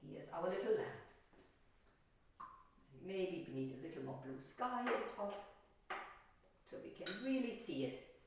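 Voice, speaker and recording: A woman giving a gentle painting lesson for children, encouraging and talking simply and directly to her viewers. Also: lowish voice, big pitch swings, measured pace, long pauses, a little hiss or hum. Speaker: art teacher. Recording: very roomy, echoey, distant microphone.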